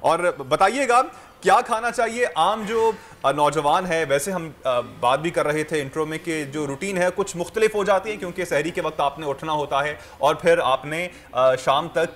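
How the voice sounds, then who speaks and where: A man's voice: on the high side, moderate pace, hardly any pauses, a bit bright. A man, hosting a studio talk show and leading the chat in a friendly, conversational way. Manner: friendly, conversational, brief agreeing interjections.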